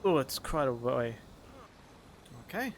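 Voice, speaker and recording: A man's voice: mid-range voice, very animated, slow, a little hiss or hum. A man giving casual commentary as he plays a video game, with music behind him. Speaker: gamer, streamer. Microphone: studio microphone.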